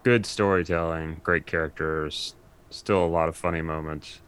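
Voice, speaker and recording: A man's voice: very deep voice, fairly even intonation, moderate pace, a bit bright, smooth. A man chatting casually as a podcast guest, in a laid-back conversational way. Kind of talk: casual chat.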